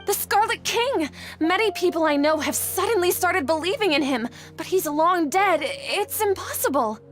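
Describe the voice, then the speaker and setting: A woman's voice: extremely high pitch, lively intonation, normal speed, soft background music. An actress playing an anxious, guilt-ridden young woman scholar in a video game, speaking earnestly and formally. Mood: panicked. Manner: earnest, troubled, formal wording. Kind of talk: dramatic video game voice acting.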